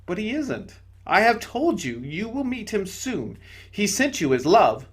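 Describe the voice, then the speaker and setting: A man voicing a radiant, serene male spirit who speaks with gentle, patient calm, in a storybook reading. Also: high voice, measured pace, husky, voice pushed up, exaggerated voice. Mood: reassuring.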